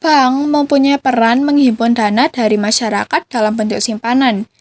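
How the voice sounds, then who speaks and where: A woman's voice: on the high side, steady tone, normal speed, no room sound. A teenage young woman with an Indonesian accent, giving a scripted explanation as a student podcast co-host. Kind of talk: scripted explainer read aloud.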